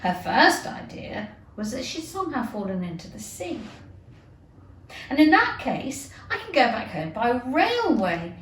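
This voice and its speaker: A woman narrating a children's storybook expressively, with dramatic, deliberate phrasing. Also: a little high, very animated. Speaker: teacher reading aloud to children. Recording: echoey room, distant mic, hiss.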